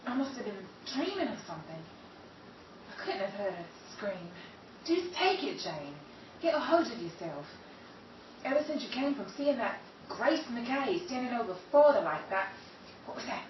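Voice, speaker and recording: A woman voicing a frightened young woman alone in the dark, speaking in a hushed, fearful way in an amateur radio drama. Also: fairly high pitch, big pitch swings, normal speed, voice pushed down. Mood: hushed, uneasy. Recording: roomy, distant, dull, noisy.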